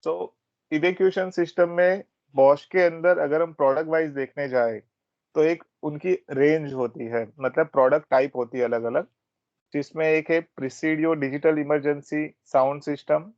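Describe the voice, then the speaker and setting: A man's voice: on the high side, no echo. A man with an Indian accent giving an informal, explanatory training presentation, mixing Hindi and English. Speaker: technical product trainer at a systems company. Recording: dull, band-limited close audio.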